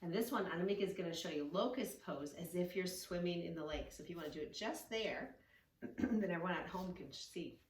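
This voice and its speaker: A woman's voice: fairly low pitch, lively intonation, a little fast. Woman reading to children, roomy and distant. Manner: encouraging, gentle instructing with frequent praise. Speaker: storyteller and children's yoga teacher. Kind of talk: read-along story with yoga instructions for children. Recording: roomy, echoing, distant microphone.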